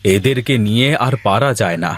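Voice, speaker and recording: A man's voice: on the low side, expressive, fairly quick. A man playing a weary village husband and son in a cartoon, with an earnest, plaintive way of talking. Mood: exasperated. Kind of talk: Bengali cartoon dialogue acting.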